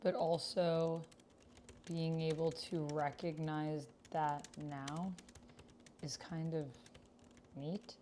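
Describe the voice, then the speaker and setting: A woman's voice: sits low, level tone, drawn-out words, long pauses, dark tone, slight background noise. A woman chatting casually and thinking aloud as she plays a text roleplaying game, often questioning her own words. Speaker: streamer playing a text-based roleplaying game. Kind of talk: casual livestream chat, thinking aloud while reading and typing.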